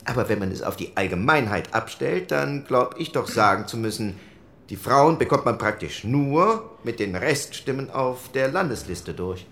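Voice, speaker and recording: A man's voice: mid-range voice, animated, moderate pace, gravelly, fairly bright tone. An actor playing an argumentative male delegate in a 1940s parliamentary debate, speaking emphatically. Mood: insistent. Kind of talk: acted debate from historical minutes.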